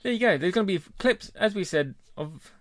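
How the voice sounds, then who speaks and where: A man co-hosting a casual podcast, chatting in a relaxed, talkative way and laughing easily. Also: sits high, fairly quick, dry recording, studio quality. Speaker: podcast co-host. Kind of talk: casual chat.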